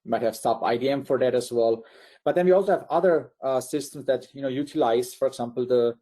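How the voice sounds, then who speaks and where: Swiss-accented male presenter, relaxed and mellow, chatty with many ums. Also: mid-range voice, fast. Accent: Swiss German. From Liechtenstein. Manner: conversational, many fillers and restarts. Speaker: webinar presenter. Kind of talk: presenting a technical webinar.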